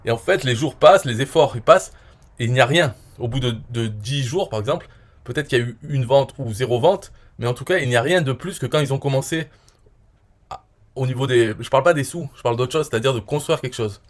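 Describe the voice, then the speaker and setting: A man from France in his forties talking casually and at length, rambling with many fillers and often trailing off quietly. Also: medium pitch, fairly quick. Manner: rambling, frequent "euh" and "voilà", trailing off quietly. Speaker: online marketer reviewing his own interview. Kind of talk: casual explanatory monologue.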